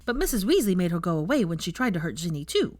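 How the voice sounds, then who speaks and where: A woman voicing a bright, curious little boy in a storybook reading, earnest and childlike. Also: fairly low pitch, big pitch swings, fast talker, no room sound, studio quality.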